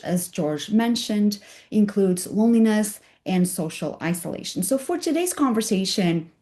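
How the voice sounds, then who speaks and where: Raspy-voiced woman lecturing with a cold. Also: medium pitch, warm tone. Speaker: guest speaker. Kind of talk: academic webinar talk. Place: home at night, joining remotely. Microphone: video call.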